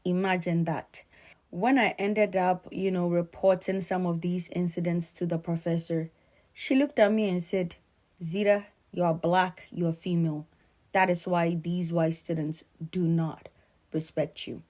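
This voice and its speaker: A middle-aged woman from Ghana giving earnest, measured, emphatic spoken commentary. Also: lowish voice, steady tone, a little mellow.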